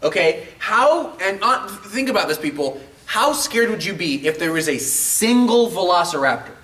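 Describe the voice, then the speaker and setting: A man's voice: sits high, very animated, normal speed, bright, forceful tone. A man hosting a casual game and film chat, talking in an emphatic, profane, ranting way and repeating himself for stress. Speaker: show host. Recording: roomy echo, distant mic, faint noise.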